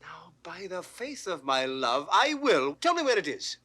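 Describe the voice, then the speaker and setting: Male as earnest romantic youth, expressive rise and fall, classic Shakespeare delivery. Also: a little high, measured pace, heavily acted. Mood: ardent. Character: ardent young male lover. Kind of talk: 1960s Shakespeare audio drama acting.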